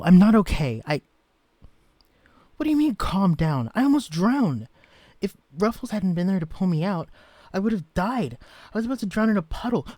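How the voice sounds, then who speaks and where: A man's voice: a little high, no room sound. A man playing a tender, guarded shrunken man. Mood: shaken, upset. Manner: earnest, vulnerable, softly confessional. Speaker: romantic lead in an audio roleplay. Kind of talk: scripted listener-directed audio drama.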